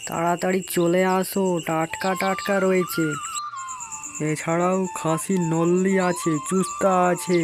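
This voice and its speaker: A man voicing a hearty village market man in an animated moral story, hawking and haggling. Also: high voice, a little slow, over music. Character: hearty male village meat seller and fish buyer.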